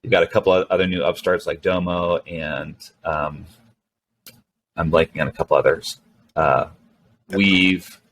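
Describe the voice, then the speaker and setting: A middle-aged man whose first language is Spanish speaks with a very low voice, easing through his answers with lots of "um"s and restarts. He talks in an unhurried, conversational way as an interview guest. A faint hum of background noise sits under the recording. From Venezuela, raised mostly in California. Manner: relaxed, rambling with many fillers and restarts. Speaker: guest, startup co-founder and CEO.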